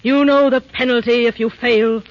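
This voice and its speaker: A woman voicing a cold, imperious evil queen in an old animated film, commanding and menacing. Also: fairly high pitch, normal speed, no room sound, close to an everyday voice. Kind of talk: 1930s animated film acting. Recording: dull vintage film soundtrack.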